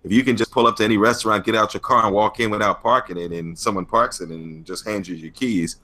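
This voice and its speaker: A man guest telling stories in a casual interview, animated and laughing, with many filler words. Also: on the low side, fast. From United States. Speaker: stuntman guest.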